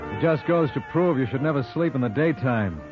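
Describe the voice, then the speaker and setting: Actor as a wry hard-boiled lawyer, muffled radio. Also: male voice, mid-range voice, expressive, fairly quick, over music, lightly acted. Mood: wry narration. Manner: wisecracking, dry, slangy. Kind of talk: 1950s radio drama acting. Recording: muffled old radio recording.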